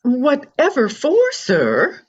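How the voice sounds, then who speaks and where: A wary chemist behind the counter in a radio mystery, played by a woman. The voice is high and very lively in pitch, with a breathy rasp and questioning politeness. The sound is a little dull. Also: slow pace, heavily acted. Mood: wary, polite. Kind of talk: amateur radio drama acting.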